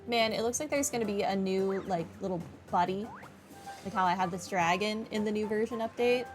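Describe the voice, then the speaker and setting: A woman's voice: mid-range voice, a little fast, soft background music. A young woman doing casual, informal gameplay commentary and reading game text aloud in a chatty way. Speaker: gaming playthrough streamer.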